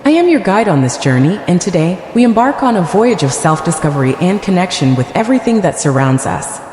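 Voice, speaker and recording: Low-voiced woman guiding meditation in reverb. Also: very animated. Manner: gentle, soothing, deliberate phrasing. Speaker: meditation guide. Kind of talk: guided meditation, scripted narration.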